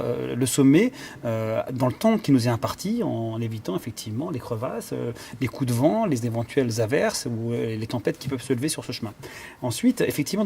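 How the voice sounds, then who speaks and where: A man's voice: medium pitch, creaky, a little mellow, slight background noise. A man who conducts and plays cello, answering interview questions in a thoughtful way, with many fillers and restarts as he searches for words. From Iran. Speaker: conductor and cellist.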